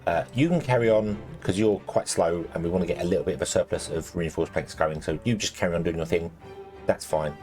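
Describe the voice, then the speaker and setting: A man with a low, slightly croaky voice, rambling through gameplay commentary with plenty of ums and restarts. Soft game music plays under his desk-mic narration. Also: fairly quick. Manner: rambling, lots of ums and self-corrections. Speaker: let's play host.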